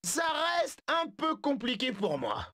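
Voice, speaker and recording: A man voicing a cheeky young male zebra escaping a zoo, in a video game dub, quick and quippy. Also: very high voice, wildly swooping pitch, bright, forceful tone, no echo, studio quality, exaggerated voice.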